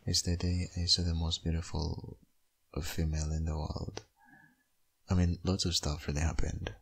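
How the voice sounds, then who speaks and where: A man playing a tender, wistful young father still in love with his ex in a boyfriend ASMR roleplay, speaking hesitantly in an intimate, husky, gravelly murmur. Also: low voice, little pitch movement, moderate pace, heavily acted. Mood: tender, nostalgic. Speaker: audio roleplay voice actor.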